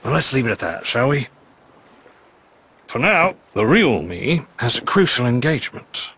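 An actor playing a roguish, swaggering pirate captain in a video game, with a British accent and flowery, slurred speech. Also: male voice, mid-range voice, big pitch swings, unhurried, a bit bright, husky, exaggerated voice. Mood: grandiose, wistful. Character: roguish, theatrical pirate captain, a sly man. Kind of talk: dramatic video game cutscene acting.

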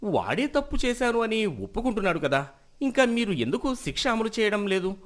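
Man acting an indignant, high-pitched village merchant. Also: big pitch swings, brisk. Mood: indignant, impatient. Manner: impatient, complaining, insistent. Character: indignant wealthy village merchant demanding punishment, hiding guilt. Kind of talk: dramatised moral story acting.

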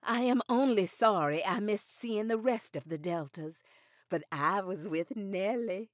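A woman's voice: mid-range voice, a little slow, dry recording, clean studio sound, close to an everyday voice. A woman playing a dignified, formidable elder of the suffrage movement in a radio drama, formal and pointed. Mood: dry, assured.

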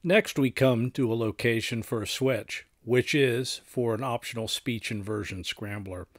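A middle-aged man presenting radio equipment in scripted technical narration, with measured, formal and precise wording. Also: medium pitch, no echo, clean studio sound.

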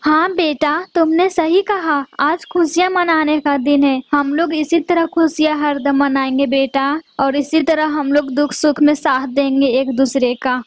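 A stern old grandmother bird in a cartoon, voiced by a woman. She scolds sharply, speaking in a high, dry voice. The sound is close and dead, with no room. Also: steady tone, measured pace, hardly any pauses, no echo. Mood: warm. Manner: scolding, then tearfully pleading. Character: stern old mother-in-law bird who softens. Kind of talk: cartoon voice acting.

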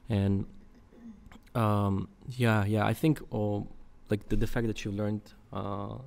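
A man's voice: fairly low pitch, flat delivery, takes it slowly, vocal fry, a little mellow. A young man talking as a podcast guest in a studio, thoughtful, with many fillers and restarts. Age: young adult. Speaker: podcast guest, English teacher. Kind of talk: casual conversation. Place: dark studio. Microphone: boom mic in front.

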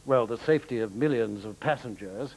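A middle-aged man with an English accent playing a polite, sceptical television interviewer in a satirical sketch, probing in a measured but hesitant way. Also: mid-range voice, a little mellow, husky, poor audio. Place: television studio before an audience.

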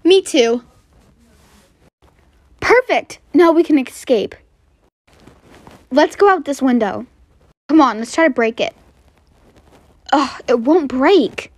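Eager schoolgirl heroine for a toy-app drama, brave, high animated voice woman performer. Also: takes it slowly, pauses often, no echo. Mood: urgent.

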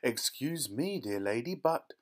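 A man voicing a flamboyant, excitable chocolate factory owner in a storybook, theatrical and gushing, with emphatic repeats. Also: medium pitch, unhurried. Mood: insistent, proud.

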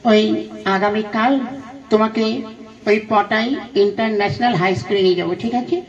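A man's voice: high voice, steady tone, fairly quick, distant mic, natural voice. A man voicing a nagging, scolding village mother who instructs her schoolboy son in a comic puppet show. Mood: instructing. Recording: dull lo-fi sound.

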